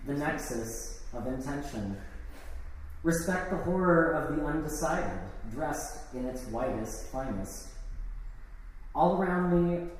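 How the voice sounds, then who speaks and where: A man's voice: medium pitch, darkish tone. Man reading poetry in an echoey hall. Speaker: poet reading own work. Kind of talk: live poetry reading with casual introductions. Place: live event in an art gallery. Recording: echoey, noisy hall, distant microphone.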